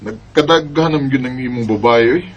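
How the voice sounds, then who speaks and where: A man's voice: mid-range voice, brisk, muffled recording, natural voice. A man with a Cebuano Filipino accent playing a teasing, disapproving young friend in an amateur radio drama. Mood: teasing disapproval.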